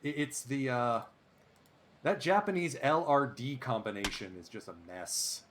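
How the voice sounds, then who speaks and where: A man doing play-by-play commentary over a baseball sim game, excitable and chatty, drifting into asides. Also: mid-range voice, a little slow, a little hiss or hum. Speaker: let's play host.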